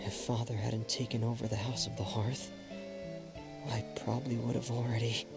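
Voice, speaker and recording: A man voicing a shy, earnest young man in a video game, speaking softly and with hesitation. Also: mid-range voice, little pitch movement, moderate pace, vocal fry, lightly acted. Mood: grateful. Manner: soft, hesitant, reflective. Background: game music.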